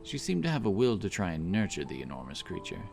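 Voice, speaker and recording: Deep-voiced male fantasy narrator. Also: wildly swooping pitch, fast, darkish tone, a little hiss or hum. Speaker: audiobook narrator. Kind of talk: scripted fantasy story narration. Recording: clear music bed behind the voice. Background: background music.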